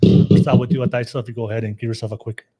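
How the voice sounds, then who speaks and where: A man with a Californian accent hosts a live podcast in a casual, upbeat way. He laughs easily, throws in lots of fillers and often restarts what he is saying. His voice sits at an ordinary middle pitch. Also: flat delivery, rapid-fire, highs cut off, heavy background noise. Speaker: podcast host.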